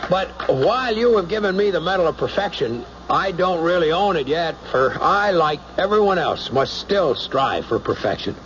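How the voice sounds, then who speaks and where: A man's voice: on the high side, expressive, moderate pace, hardly any pauses, noisy background, close to an everyday voice. A male actor playing a troubled, conscience-stricken space pilot commander in an earnest, reflective radio drama style. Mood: formal, earnest. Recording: dull old radio broadcast recording.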